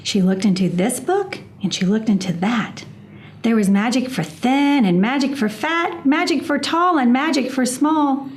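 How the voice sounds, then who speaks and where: Slow-paced, higher-voiced woman reading a storybook. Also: expressive, slight background noise. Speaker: reading specialist reading to children. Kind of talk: storybook read-aloud.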